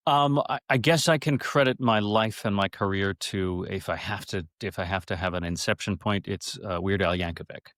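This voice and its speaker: A middle-aged man speaks as a guest in a casual interview. His voice sits a little low, with very little room sound around it. He tells stories in an animated, rambling, conversational way. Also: normal speed, few pauses, no echo, clean studio sound. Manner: animated, rambling, acts out quoted speech.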